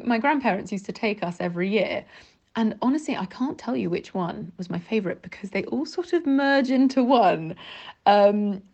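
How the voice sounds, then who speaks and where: A British woman contributes as a guest, recalling things casually. Her voice sits at an average pitch, and she talks in an informal, conversational way. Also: darkish tone. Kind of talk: casual recollection.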